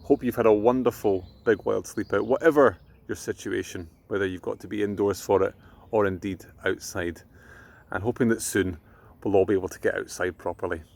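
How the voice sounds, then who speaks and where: A Scottish man tells a bedtime story to children. His voice sits fairly low with plenty of gravelly creak, and his delivery is expressive, dropping into a hush at times. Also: a little fast. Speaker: presenter reading a bedtime story. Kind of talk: children's bedtime storytelling and friendly address to viewers.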